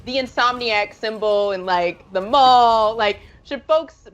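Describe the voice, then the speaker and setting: A woman's voice: fairly high pitch, slow, lo-fi sound. Enthusiastic woman hosting a live show. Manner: enthusiastic, gushing. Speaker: host. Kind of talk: live show interview and commentary.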